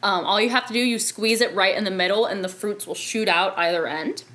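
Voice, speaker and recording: A woman's voice: mid-range voice, a little fast, fairly bright tone. A woman chatting casually as a player in a tabletop roleplaying game.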